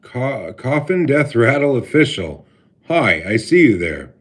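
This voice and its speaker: A man with a deep voice hosting a casual livestream, greeting viewers by name and talking with many halting filler pauses. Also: sing-song, a bit bright, breathy edge. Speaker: livestream host. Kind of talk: casual live stream chat with viewers. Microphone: laptop mic.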